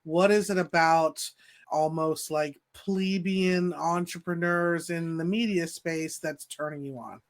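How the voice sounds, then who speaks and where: A woman's voice: on the low side, fairly even intonation, slow. Frank, probing woman host. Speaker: host and business coach. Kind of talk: coaching interview.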